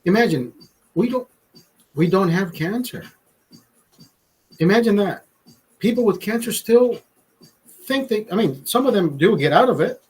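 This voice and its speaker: A man's voice: high voice, animated, measured pace, pauses often, low-quality recording. A man in his forties talking casually on a livestream, rambling and profane, repeating himself as he drinks beer and gives pep talks. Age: 40s. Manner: loud emphatic motivational rants. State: drinking beer, a bit tipsy.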